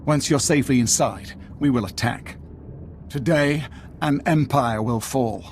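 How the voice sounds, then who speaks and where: An actor playing a proud, grave imperial war leader in a video game, speaking in formal, measured oratory. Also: male voice, medium pitch, a little hiss or hum, close to an everyday voice. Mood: grim resolve.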